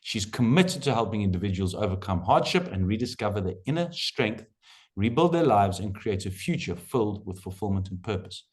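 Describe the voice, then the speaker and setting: A man's voice: on the low side, lively intonation, brisk. A man hosting an online coaching workshop, lecturing emphatically and repeating his key phrases.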